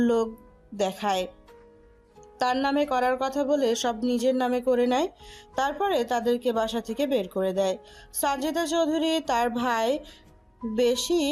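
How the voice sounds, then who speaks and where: A woman's voice: on the high side, a little mellow. A woman narrating a scripted dramatic story over music.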